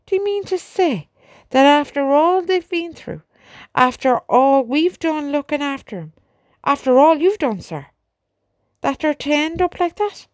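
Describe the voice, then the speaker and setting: A woman's voice: high-pitched, lively intonation, measured pace, no room sound, clean studio sound, pitched above the performer's own voice. A woman reading aloud, voicing an indignant male army sergeant who pleads for his horses. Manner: pleading, rising to outrage.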